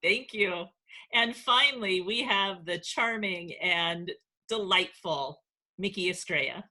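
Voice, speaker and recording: A woman's voice: on the low side, slow, sharp tone. A woman hosting and narrating a children's read-aloud as its author.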